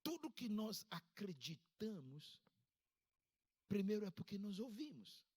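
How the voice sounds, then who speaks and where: A man in his fifties from Ghana, preaching a sermon in a church service with a Ghanaian accent, with emphatic rises in pitch. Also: sing-song, unhurried, dark tone, slightly rough. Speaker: pastor.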